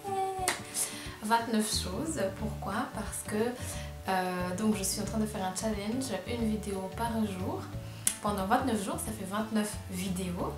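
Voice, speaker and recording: A woman's voice: medium pitch, animated, a little slow, roomy sound, music in the background. A woman in her twenties with a Belgian French accent, chatting casually and hesitantly to camera in a vlog, with many fillers. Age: 20s. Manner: chatty, hesitant, full of fillers and self-deprecating laughs. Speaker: vlogger.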